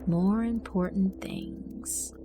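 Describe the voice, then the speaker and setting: A woman voicing a bored young man who turns quietly menacing, speaking flat and slow. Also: on the low side. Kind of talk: audiobook character voice. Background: music.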